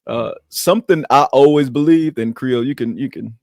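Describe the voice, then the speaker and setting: A man's voice: mid-range voice, normal speed, a little mellow, no room sound, studio quality. A man who grew up speaking Arabic, co-hosting a podcast and telling stories from his upbringing in a loose, reflective way. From Queens, New York. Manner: reflective, loose storytelling with repeated restarts. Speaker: podcast co-host. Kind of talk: casual podcast conversation.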